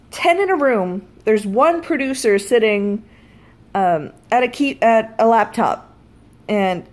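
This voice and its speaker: A woman telling a personal story in a casual vlog style, blunt and freely swearing. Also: mid-range voice. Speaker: vlogger.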